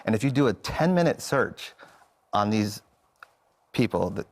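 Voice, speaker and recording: An American man with a fairly low voice, answering as a guest in a television interview. He sounds earnest and works through his points in a halting way. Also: lively intonation. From United States.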